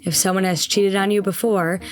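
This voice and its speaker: A woman's voice: medium pitch, fairly even intonation, normal speed. Matter-of-fact woman reading facts. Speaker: narrator reading statistics. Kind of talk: factual scripted announcement.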